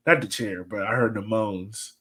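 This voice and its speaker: A man's voice: on the low side, moderate pace, a bit bright, husky. A man co-hosting a casual sports podcast, breaking down a match in an informal, joking way. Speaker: podcast co-host.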